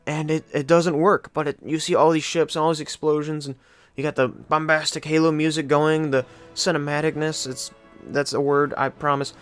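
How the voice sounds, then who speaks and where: A man's voice: a little high, little pitch movement, normal speed, clean tone, faint music behind. A man giving casual, rambling commentary as the host of a video game countdown, often correcting himself conversationally.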